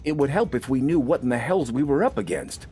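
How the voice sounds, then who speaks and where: A man's voice: medium pitch, lively intonation, a little fast, dark tone, soft background music, pitched above the performer's own voice, lightly acted. A man voicing a naive, frightened young country soldier in a science fiction story, with breathless, stammering exclamations. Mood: indignant, confused. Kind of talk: dramatized science fiction audiobook dialogue.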